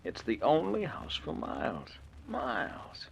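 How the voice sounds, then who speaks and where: A man's voice: mid-range voice, wildly swooping pitch, measured pace, vocal fry, exaggerated voice. A man playing a scheming, self-assured murder plotter in an old-time radio suspense drama. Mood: smug, calculating. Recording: muffled, noisy old radio recording.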